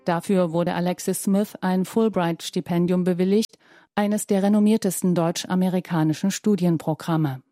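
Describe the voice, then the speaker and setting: Calm woman reading a news voice-over. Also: on the low side, normal speed, no echo, studio quality, faint music behind. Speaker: news reporter. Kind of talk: scripted narration.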